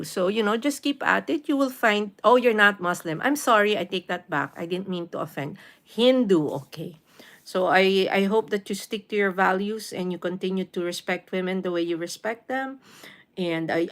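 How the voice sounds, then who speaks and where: A woman's voice: mid-range voice, fairly bright tone. A woman in her late fifties chats casually as the host of a livestream. She has a Filipino accent and a mid-range voice. She reads viewers' comments aloud and answers them with motherly encouragement, often repeating herself and slipping between English and Tagalog.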